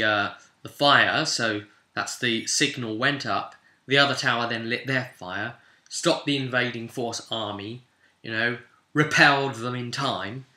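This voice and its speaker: A man's voice: mid-range voice, pushed and bright. A man casually recapping a tabletop role-play session as game master, telling it like a story and breaking off into asides. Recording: roomy, echoey room sound.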